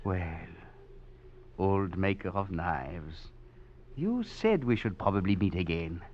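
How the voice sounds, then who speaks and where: An actor playing a bitter, sardonic French fugitive, brooding and ironic, in a 1940s radio drama. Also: male voice, sits low, animated, slow, slight background noise. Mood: bitter, proud. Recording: dull vintage radio recording.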